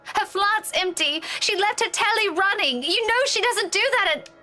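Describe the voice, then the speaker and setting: A woman playing an anxious, fussy and sharp-tongued young schoolteacher in a visual novel game. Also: very high-pitched, normal speed. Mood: panicked, worried. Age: young adult. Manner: urgent, insistent. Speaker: game voice actor. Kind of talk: dramatic visual novel dialogue. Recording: music behind the voice.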